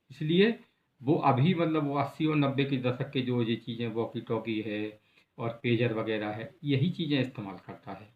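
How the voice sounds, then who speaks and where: Echoey, phone-like Indian man explaining news. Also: mid-range voice. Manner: halting, repetitive, with frequent filler words. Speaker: news presenter. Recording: echoey room, thin phone-like sound.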